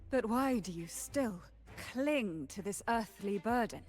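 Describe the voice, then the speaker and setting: An actress playing an enigmatic, composed noblewoman with mystical powers in a video game, measured and formal. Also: mid-range voice, expressive, a little mellow, natural voice. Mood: poised, mocking. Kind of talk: dramatic video game voice acting.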